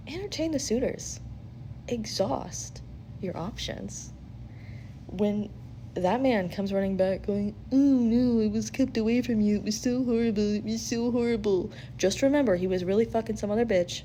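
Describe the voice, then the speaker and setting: Mellow-voiced woman, blunt and chatty, noisy recording. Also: a little high, moderate pace. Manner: blunt, sweary, conversational. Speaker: tarot-style spiritual reader and host. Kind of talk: casual intuitive reading, chatty advice.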